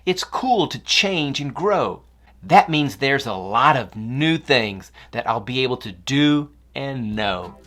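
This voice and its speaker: A woman's voice: very deep voice, expressive, slow, a bit bright, heavily acted. A woman voicing an anxious, discouraged young schoolboy in a children's story, hesitant and unsure of himself. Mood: earnest. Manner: glum, hesitant.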